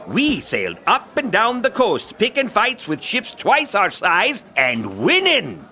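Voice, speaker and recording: A boisterous old-school pirate bosun who is down on his luck. His high, sharp voice leaps wildly around in a theatrical stage pirate accent. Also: heavily acted.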